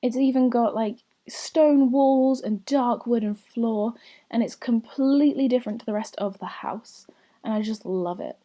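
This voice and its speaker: Woman for a casual gaming voiceover, a bit croaky, warm, rambling. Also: fairly high pitch, a little slow. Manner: chatty and rambling, with soft whispery asides. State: slightly croaky, recovering from lost voice. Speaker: gaming channel host. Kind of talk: casual voiceover commentary over gameplay.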